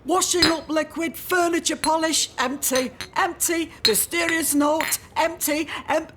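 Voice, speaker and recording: A woman's voice: very high-pitched, fairly even intonation, measured pace, background noise. An actress playing a brusque, exasperated village pub landlady in a comedy audio drama, blunt and bossy.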